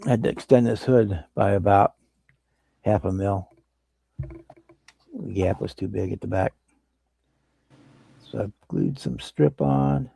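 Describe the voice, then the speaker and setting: Brief: male livestream host, gravelly husky voice, easygoing workbench talk. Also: fairly low pitch, takes it slowly, long pauses. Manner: relaxed, rambling explanations while working. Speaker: model-building livestream host. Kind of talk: casual workbench chat.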